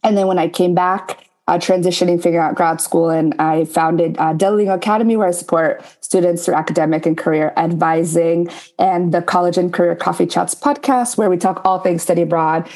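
Mellow, low-pitched woman moderator. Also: steady tone, fairly quick, few pauses. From New Jersey, United States. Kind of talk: panel moderating.

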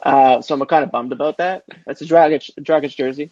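A man's voice: a little high, fairly even intonation, fairly quick, darkish tone, no echo. A man co-hosting a casual podcast, easygoing and a little hesitant. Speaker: podcast co-host. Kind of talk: casual chat. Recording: slightly dull dry recording.

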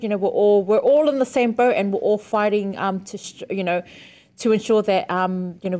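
A woman co-hosts a podcast in a chatty, rambling way. Her voice sits mid-range, and her sentences run long with plenty of ums and you-knows. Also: mid-range voice. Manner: warm, chatty, rambling with fillers. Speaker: podcast co-host. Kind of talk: casual podcast chat.

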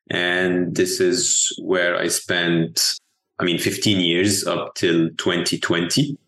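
A man in his forties from Egypt, answering interview questions in a measured way, thinking aloud with small restarts. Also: low voice, fairly bright tone. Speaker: venture capital investor guest. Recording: roomy echo.

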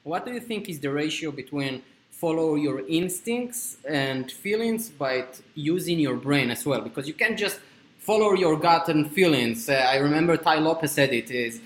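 A man's voice: on the high side, animated, normal speed. A man from Israel with an Israeli accent, interviewing a guest and telling his own story in earnest, sometimes groping for words. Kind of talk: interview questions and personal story. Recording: echoey room with faint ambient noise.

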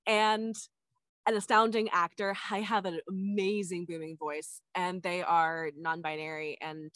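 A stage actor chatting as a podcast guest, lively and laughing often, with the pitch jumping up when excited. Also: female voice, no room sound. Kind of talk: casual chat.

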